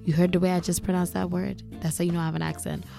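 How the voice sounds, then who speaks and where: A woman's voice: on the low side, flat delivery, very fast, creaky, mellow, soft background music. A young woman from Grenada with a Grenadian accent, hosting a casual monologue and talking candidly, a little exasperated. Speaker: podcast host.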